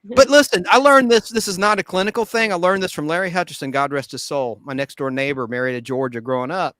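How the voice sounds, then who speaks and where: High-pitched, bright-voiced man joking around. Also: fast talker, no echo. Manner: jokey, self-deprecating. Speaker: panel host. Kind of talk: casual chat.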